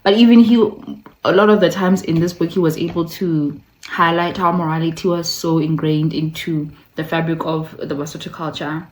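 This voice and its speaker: A woman with a slightly low voice presents a casual video essay as its host. She talks conversationally, drops in playful asides and repeats words for emphasis. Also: steady tone, normal speed, a little hiss or hum, rough recording. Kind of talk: casual video essay with passages read aloud.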